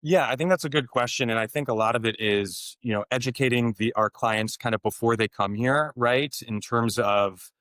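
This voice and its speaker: A man's voice: medium pitch, moderate pace, hardly any pauses, a bit bright, dry recording, studio quality. A man co-founding a motorcycle tour business, answering interview questions in an easygoing way, thinking aloud with frequent restarts.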